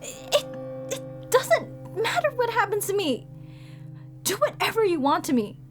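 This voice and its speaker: A woman's voice: very high voice, big pitch swings, a little slow, music in the background, full character voice. A woman voicing a sweet, airheaded young researcher who begs desperately. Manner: desperate, pleading, breathless.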